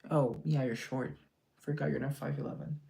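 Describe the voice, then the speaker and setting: A man's voice: mid-range voice, fairly even intonation, normal speed, creaky, dark tone. A man hosting a casual social media live, reading out viewer comments and answering them in a teasing, joking, chatty way over a phone mic. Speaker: live-stream host.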